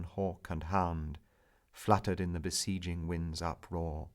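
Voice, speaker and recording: A man narrating poetry as a dramatic recital, measured and theatrical, with strong swells and hushed endings. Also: sits low.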